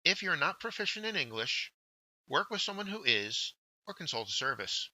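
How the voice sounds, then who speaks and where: A man presenting a scripted instructional workshop, with deliberate, emphatic phrasing. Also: on the high side, sing-song, pushed and bright, no echo, low-quality recording. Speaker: workshop presenter, journal editor.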